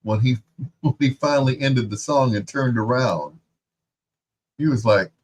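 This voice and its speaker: Husky-voiced male radio host. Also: medium pitch, unhurried. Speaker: radio music show host. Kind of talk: casual radio host chat with personal anecdotes.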